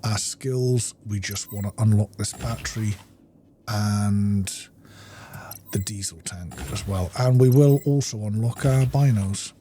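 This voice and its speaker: Low, mellow male gamer thinking aloud. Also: unhurried, slight background noise. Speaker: video game streamer. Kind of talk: casual gameplay commentary, thinking aloud.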